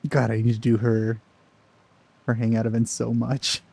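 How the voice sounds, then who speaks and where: A man's voice: medium pitch, completely flat delivery, moderate pace, vocal fry, darkish tone, husky. A man giving casual let's play commentary over a video game.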